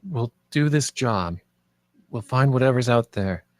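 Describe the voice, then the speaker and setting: An earnest, determined young friend, read by a male actor in a drama. The voice is medium in pitch with plenty of movement and a dry, close sound. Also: lively intonation, a little slow, no room sound, close to an everyday voice.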